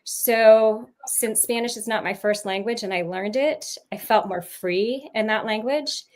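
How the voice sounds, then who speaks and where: A woman's voice: fairly high pitch, a little slow. Woman author, former teacher; rambling casual talk with frequent ums and laughs, heard over a video call. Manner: rambling, lots of ums, laughs mid-sentence. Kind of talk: casual livestream chat.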